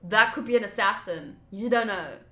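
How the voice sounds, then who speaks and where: Female streamer for visual novel playthrough: bright voice, casual reading and commentary, roomy echo. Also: mid-range voice, normal speed. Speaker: game streamer. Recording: roomy echo, faint game music. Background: faint game music.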